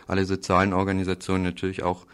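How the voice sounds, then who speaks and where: A man's voice: low voice, monotone, rapid-fire, a bit bright, clean tone. A man contributing to a radio broadcast with an informal spoken appeal in a studio.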